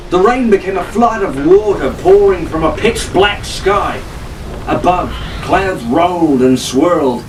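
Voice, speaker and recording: Male audiobook storyteller, dramatic scripted delivery, fairly high pitch, somewhat distant mic with background noise.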